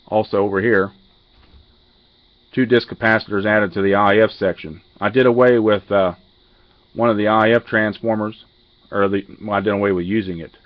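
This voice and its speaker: Low-pitched man, halting, matter-of-fact, casual technical walkthrough, muffled lo-fi camera audio. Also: steady tone, dry recording. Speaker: amateur radio hobbyist demonstrating a modified radio.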